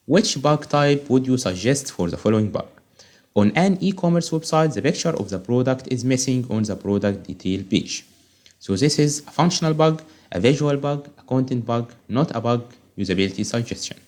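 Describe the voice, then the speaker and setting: A man's voice: mid-range voice, darkish tone. A man with an Arabic accent, a native Arabic speaker, giving an informal step-by-step screen tutorial as an online instructor, often checking in with "okay?".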